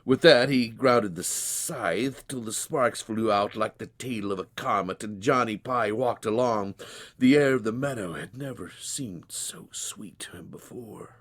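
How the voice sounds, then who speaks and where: A man narrating a literary tale as a storyteller, with an expressive, folksy, dramatized delivery. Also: fairly low pitch, measured pace.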